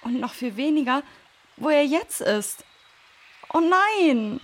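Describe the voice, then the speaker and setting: A woman's voice: high-pitched, expressive, slow pace. A woman playing a protective, knowledgeable female forest guide in a children's radio play, explaining things in a reassuring way. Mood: dismayed.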